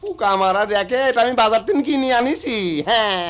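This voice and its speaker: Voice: mid-range voice, lively intonation, fairly quick, pushed and bright. An actor voicing a grumpy, money-minded male shopkeeper with a rural Bangladeshi accent in a cartoon dub, scolding and exclamatory. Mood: indignant. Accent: rural Bangladeshi dialect. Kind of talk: Bengali cartoon dub acting.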